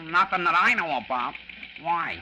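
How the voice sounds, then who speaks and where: A man's voice: fairly high pitch, expressive, moderate pace, dull audio, background noise, natural voice. A man playing a cheeky, folksy teenage copy boy with offhand slang in a 1940s radio drama.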